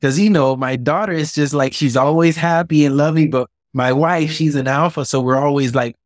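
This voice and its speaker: A man's voice: a little high, moderate pace, no echo, studio quality. A man from Miami, a businessman and former boxer, answering interview questions candidly with personal examples. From Miami, USA. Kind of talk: conversational interview.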